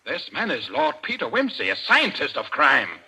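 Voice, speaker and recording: Raspy, forceful crime boss. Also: male voice, on the high side, wildly swooping pitch, full character voice. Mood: grave, formal. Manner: formal, authoritative, deliberate. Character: commanding, coldly menacing male president of a criminal society. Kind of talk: old-time radio drama acting. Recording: vintage radio drama recording.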